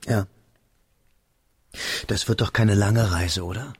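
A man narrating a literary radio drama in a measured, reflective way, as a young man recalling a friend, in a husky, creaky voice. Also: on the low side, fairly even intonation, a little mellow, no echo, clean studio sound. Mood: searching. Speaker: first-person narrator.

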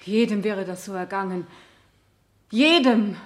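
An actress playing an anguished, betrayed wife on the witness stand in a radio play, emotional, halting and insistent. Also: female voice, mid-range voice, close to an everyday voice. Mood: desperate, indignant. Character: anguished, betrayed wife testifying against her husband. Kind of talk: 1970 German radio play acting.

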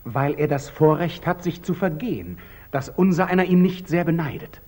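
An actor playing a proud, earnest young knight templar, formal and guarded, in 1940s German radio drama style. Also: male voice, on the high side, lively intonation, moderate pace, dark tone. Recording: old muffled 1940s radio recording.

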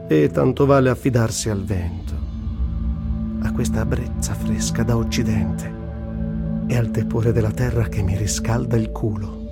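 A man's voice: fairly low pitch, unhurried, creaky. Man reciting as a wry diarist over music. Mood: serene, wry. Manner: reflective, self-mocking, theatrical. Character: wry, earthy, middle-aged male war journalist and diarist. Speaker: actor reciting a journalist's travel diary. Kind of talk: dramatic literary reading for radio theatre.

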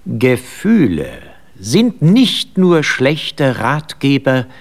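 Slow, dignified old butler. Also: male voice, mid-range voice, sing-song. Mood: dignified, admonishing. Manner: stiff, formal, measured, quoting maxims. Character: elderly English butler, formal, dignified and rational. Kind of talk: German radio drama acting.

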